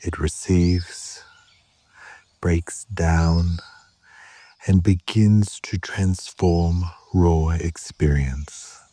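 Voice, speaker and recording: A man guiding a meditation in a calm, measured way, with short phrases, long pauses and a soft, at times breathy voice. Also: sits low. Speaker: yoga nidra meditation guide. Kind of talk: slow guided meditation narration.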